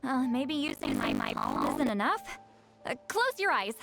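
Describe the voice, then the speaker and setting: A woman playing an ageless, reclusive shaman in an anime-style video game, intimidating on the surface but awkward, lonely and halting in her speech. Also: high-pitched, huge pitch swings, vocal fry, full character voice. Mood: flustered. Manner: flustered, halting, stammering. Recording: music behind the voice.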